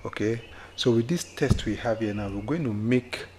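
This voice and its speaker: A man walking viewers through a software tutorial, often repeating himself and checking in with "okay?". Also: on the low side, moderate pace, a little hiss or hum. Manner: repetitive, with frequent "okay?" checks. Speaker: tutorial host. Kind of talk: screen-recorded software tutorial. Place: indoors at a computer, voice-over on screen capture.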